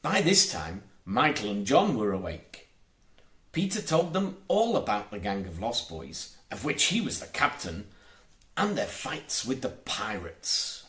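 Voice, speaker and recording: A man's voice: fairly low pitch, big pitch swings, measured pace, fairly bright tone, roomy sound. A man reading a children's storybook aloud as an audiobook narrator, expressively emphasizing his words. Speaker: audiobook reader.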